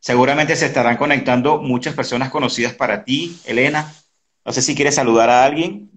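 A man in his early fifties hosting a casual interview on a video call, speaking haltingly and often repeating words. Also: medium pitch, a bit bright. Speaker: interview host. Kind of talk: casual conversational online interview. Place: indoor room at home. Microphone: video call, device close in front.

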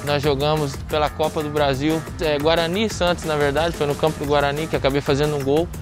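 A man's voice: a little high, quick pace, music in the background. Chatty man on an outdoor sand court. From Venezuela. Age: 30s. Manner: relaxed, chatty, with restarts and repeated words. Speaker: interviewed former footballer. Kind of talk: casual interview.

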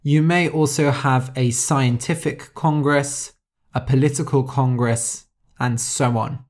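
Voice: medium pitch, steady tone, takes it slowly, darkish tone. A podcast host reading a simple story aloud for English learners, explaining words and spelling some out letter by letter.

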